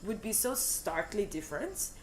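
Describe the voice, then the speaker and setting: A woman co-hosting a live stream, explaining her ideas in a relaxed, thoughtful way with frequent fillers and easy laughs. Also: mid-range voice, normal speed, a little hiss or hum, low-quality recording. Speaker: co-host of a language stream. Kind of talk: casual discussion on a live stream. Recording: music playing behind the talk.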